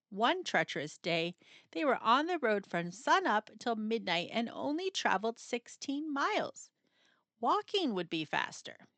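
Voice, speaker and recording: Woman, children's read-aloud narrator, bright voice, highly animated pitch, dramatic delivery, suspenseful pauses. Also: dry recording. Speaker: storybook narrator.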